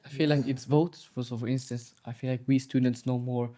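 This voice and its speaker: A man's voice: mid-range voice, little pitch movement, a little fast, dark tone. A teenage boy student explaining his views thoughtfully in an interview. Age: teens. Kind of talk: interview answer.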